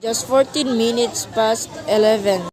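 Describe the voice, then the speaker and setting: A woman's voice: on the high side, unhurried, a little mellow, natural voice. A woman playing a polite, businesslike hospital receptionist in a radio play, formal and unruffled.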